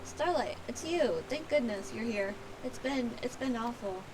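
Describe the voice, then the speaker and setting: A woman's voice: on the high side, expressive, measured pace, noisy background. A woman voicing a timid, frightened adventurer from a video game, stammering and pleading, cold and hungry. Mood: relieved.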